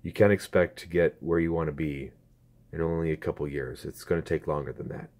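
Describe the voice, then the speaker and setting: A man in his twenties talks to his viewers through a phone camera, earnest and halting, with a strained throat. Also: a bass voice, fairly even intonation, darkish tone. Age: 20s. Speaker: YouTuber. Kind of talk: casual talk to camera.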